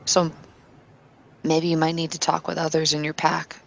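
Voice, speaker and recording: A woman's voice: sits low, completely flat delivery, normal speed, creaky. A woman playing a tabletop roleplaying game, speaking in character, earnestly and with some hesitant restarts.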